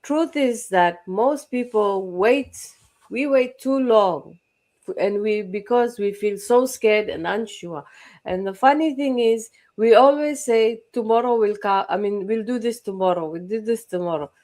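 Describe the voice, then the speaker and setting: A woman hosts a motivational online talk in an earnest way. She repeats phrases for emphasis and lists points one by one. Her pitch sits in a middle range for a woman. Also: unhurried, a little mellow. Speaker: host.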